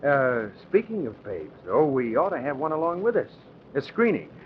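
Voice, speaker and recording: A man's voice: mid-range voice, sing-song, moderate pace, slight background noise, natural voice. A man acting a breezy, slangy, wisecracking crime reporter in a 1940s radio drama. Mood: wry, breezy. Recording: muffled old radio recording, crowd murmur.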